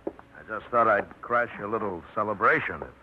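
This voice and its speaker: Brief: man as a casual, confident guy, low voice, muffled old radio. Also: brisk, natural voice. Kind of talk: 1950s radio drama acting. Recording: muffled 1950s radio broadcast, faint hiss.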